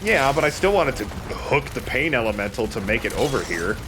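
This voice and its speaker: A man giving casual, joking let's play commentary, wry and quick to swear. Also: mid-range voice, sing-song, background noise.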